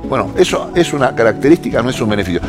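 An Argentine man in a lively studio sports debate, arguing with animation and repeating himself as he builds a point. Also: medium pitch, over music. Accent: Argentine Spanish. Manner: animated, argumentative, quick. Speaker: sports show panelist.

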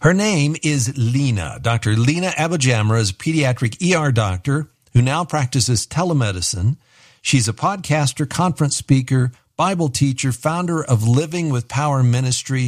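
A middle-aged man with a medium-pitched voice hosts a radio interview. He speaks conversationally, in a reflective and self-deprecating manner. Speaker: interview show host.

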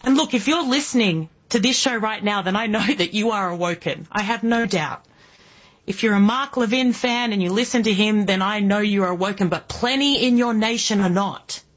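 A woman in her early forties with an Australian accent, hosting political talk radio in an emphatic monologue full of rhetorical questions, with a husky voice. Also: medium pitch, normal speed, lo-fi sound. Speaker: guest radio host.

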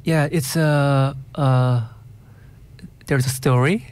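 A male singer-songwriter with a Korean accent, a native Korean speaker, talks in an interview at a very slow pace. His pitch is average for a man. He hesitates and searches for words as he answers casually. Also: steady tone, a little mellow, smooth. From South Korea. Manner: hesitant, searching for words in non-fluent English.

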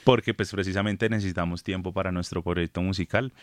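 A man in his thirties from Colombia, a guest singer chatting on a radio show, relaxed and laughing easily. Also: low voice, quick pace, no room sound, studio quality. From Villavicencio, Colombia. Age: 30s. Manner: laughs often, relaxed and friendly. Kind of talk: casual radio interview chat. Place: radio studio.

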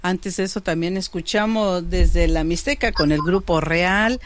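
A woman's voice: on the low side, a little slow, a little hiss or hum. Chatty woman radio host. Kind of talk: community radio hosting.

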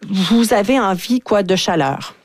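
A woman's voice: medium pitch, expressive, unhurried, dry recording, studio quality. A woman with a Quebec French accent hosting a radio show, interviewing guests in a conversational way. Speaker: radio host.

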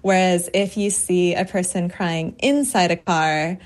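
A woman's voice: lowish voice, fairly even intonation, measured pace. A woman poet and author speaking as a guest in a radio interview, thoughtful and articulate, laughing easily.

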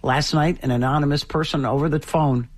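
Deep-voiced husky radio host. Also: male voice, fairly even intonation, a little fast. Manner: chatty, storytelling, chuckling asides. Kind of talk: casual morning radio banter.

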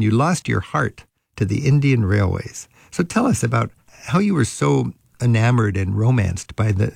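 An older man hosting a travel interview, warmly reminiscing and thinking aloud with small restarts. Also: medium pitch, vocal fry, no echo, studio quality. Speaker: travel radio show host. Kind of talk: conversational interview.

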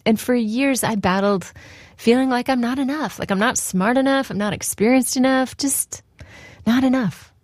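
A woman's voice: a little high, darkish tone, no echo, clean studio sound. A woman giving a short scripted radio devotional in an earnest, reflective way.

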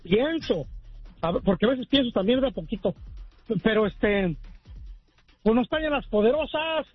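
A man's voice: extremely high pitch, lively intonation, moderate pace, slightly rough, soft background music. A man calling in to a radio show, chatting in a folksy, easygoing way full of slang. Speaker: caller. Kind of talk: casual call-in chat. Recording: muffled lo-fi phone call.

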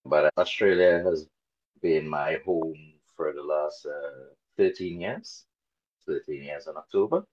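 A man in his forties from Trinidad and Tobago, talking casually as an interview guest, relaxed and a bit hesitant with many fillers. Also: extremely low pitch, unhurried, dull audio. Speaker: interview guest, dog carer volunteer.

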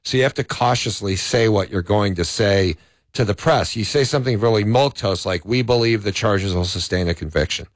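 A man's voice: fairly low pitch, brisk, no room sound. A man, a law professor, giving legal commentary on talk radio, arguing his points with examples. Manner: argumentative, explains with examples. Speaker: law professor, co-host guest.

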